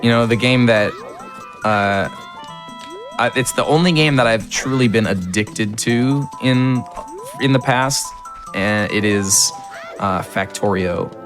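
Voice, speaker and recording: A man with a deep, low voice that is a little raspy from being ill chats casually as a speedrunner, full of "like" and restarts. Game music plays clearly behind him. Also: slow pace. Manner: chatty, many fillers and restarts. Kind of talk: casual gaming chat while playing.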